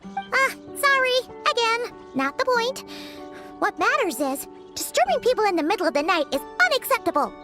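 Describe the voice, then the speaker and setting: A woman voicing a tiny, childlike, excitable fairy companion in a video game, chatty and full of exclamations. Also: extremely high pitch, normal speed. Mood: surprised, indignant. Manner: exclaiming, chatty, easily sidetracked. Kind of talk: animated video game dialogue. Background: light music.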